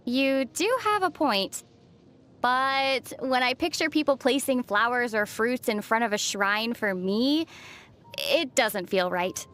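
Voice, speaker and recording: An actress playing a calm, sensible, gently teasing young traveler heroine in a video game. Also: female voice, on the high side, takes it slowly. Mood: calm, explanatory. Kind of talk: scripted video game dialogue.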